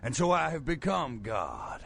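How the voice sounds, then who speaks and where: Video game villain: fanatical vampire cult preacher, grandiose, slow and deliberate, dramatic rises and drops. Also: male voice, medium pitch, big pitch swings, vocal fry, fairly bright tone, slight background noise, exaggerated voice. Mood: bitter, fervent. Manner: grandiose, theatrical preacher's delivery with drawn-out words.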